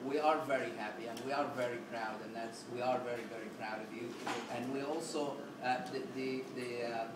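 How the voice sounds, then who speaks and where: A man hosting a community meeting, speaking in a halting, formal way full of uhs. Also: mid-range voice. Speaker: event host. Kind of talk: welcoming remarks at a community meeting. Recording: echoey room, distant mic, loud ambient noise.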